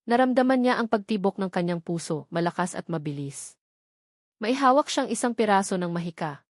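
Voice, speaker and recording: A woman's voice: medium pitch, animated, fairly quick, dry recording. Filipino woman narrating with a dry, close sound. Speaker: story narrator. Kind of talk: scripted dramatic narration of a love story.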